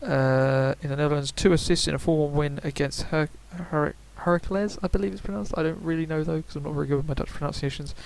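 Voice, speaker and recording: A man's voice: a little high, fairly even intonation, fast talker, creaky, hardly any pauses, darkish tone, a little hiss or hum, rough recording. A young man giving casual, rambling video game predictions, full of fillers and self-corrections. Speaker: gaming YouTuber.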